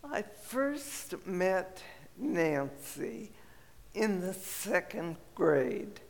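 An older woman from Colorado tells a personal story in a slow, unhurried way, pausing often and filling gaps with small hesitations. Her raspy voice carries a gravelly edge. The sound rings with the long reverb of a large hall. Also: mid-range voice, very animated. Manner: slow, gentle reminiscing with frequent pauses and fillers.

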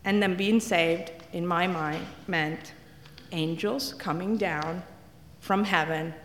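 A woman's voice: fairly low pitch, fairly even intonation, slow, a bit bright, slight background noise. A woman from Italy, telling wry, self-deprecating stories as a speaker in a church. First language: Italian. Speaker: guest speaker. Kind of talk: humorous personal testimony talk. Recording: echoey hall reverb.

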